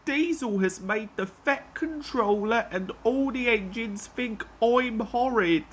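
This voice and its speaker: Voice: mid-range voice, slow pace, a bit bright. Polite, anxious little engine, a woman doing him, storybook reading. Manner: polite, worried.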